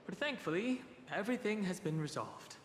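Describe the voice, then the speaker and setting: Level-headed young traveler; measured, a touch high. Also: male voice, expressive, a little hiss or hum. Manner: measured, polite and reflective. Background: game music.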